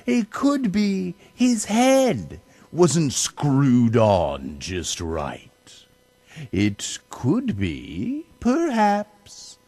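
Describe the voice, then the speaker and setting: A man reading a children's story theatrically, with dramatic, drawn-out emphasis. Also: on the low side, darkish tone, no echo. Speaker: storybook reader.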